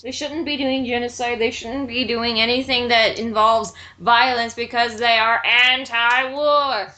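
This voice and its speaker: A woman's voice: a little high, fairly even intonation, a little slow, sharp tone, rough recording. A woman in casual commentary with others, indignant, repeating herself and swearing freely. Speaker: panelist in a group mocking a written bio.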